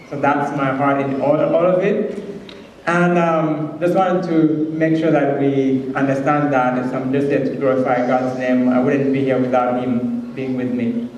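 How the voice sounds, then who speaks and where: A man from Rwanda with a Rwandan accent gives an earnest, halting personal testimony as a guest speaker in church. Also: fairly high pitch, darkish tone, lo-fi sound. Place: large church hall, speaking to an audience. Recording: very echoey hall, distant mic, faint noise.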